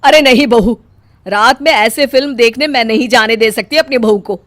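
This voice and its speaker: A woman voicing a strict, scolding middle-aged mother-in-law in a cartoon story, speaking loudly and bossily. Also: on the high side, normal speed, dry recording, close to an everyday voice. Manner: loud, scolding, bossy.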